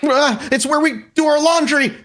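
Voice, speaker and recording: A man's voice: extremely high pitch, normal speed, a bit bright, voice pushed up. A man plays a fearful older homeowner in a horror roleplaying game. He pleads earnestly and sounds anxious to be believed. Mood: scared, pleading.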